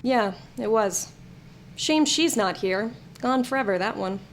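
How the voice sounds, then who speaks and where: A woman's voice: fairly high pitch, animated, a little slow, a little hiss or hum. A woman playing a jaded, blunt, sardonic character in an audio comedy-drama, with a dry delivery. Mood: matter-of-fact, wistful.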